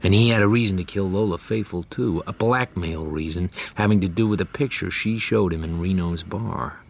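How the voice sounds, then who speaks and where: An actor playing a wisecracking, world-weary private detective, dry and laconic, in hardboiled radio drama style. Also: male voice, low-pitched, moderate pace, vocal fry. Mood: brooding. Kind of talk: hardboiled first-person radio drama narration and dialogue.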